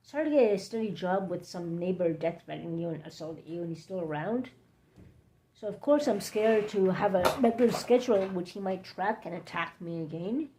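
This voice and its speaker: Give me a hoarse woman with a somewhat low voice, chatting haltingly on a late-night livestream. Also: animated, warm tone, slight background noise. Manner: halting, drifting between topics. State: hoarse, scratchy throat. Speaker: livestream host.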